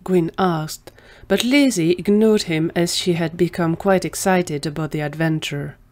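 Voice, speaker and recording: A woman's voice: fairly low pitch, measured pace, darkish tone. A woman narrating a scripted fiction story for an audio drama.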